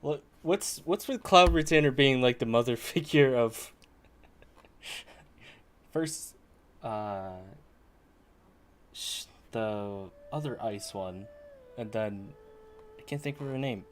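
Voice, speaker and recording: A woman streamer casually reacting to a game, talking in a halting way. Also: very deep voice. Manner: halting, searching for words. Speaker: streamer playing a story game. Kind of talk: casual live gameplay reaction.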